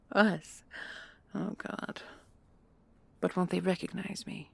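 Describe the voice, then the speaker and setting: A woman's voice: fairly low pitch, steady tone, slow pace, gravelly, slightly rough, dry recording, heavily acted. A woman playing a proud, sardonic commandant and inventor in a sci-fi audio drama, with a dry, haughty manner. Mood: indignant. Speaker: voice actor and creator. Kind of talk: sci-fi audio roleplay acting.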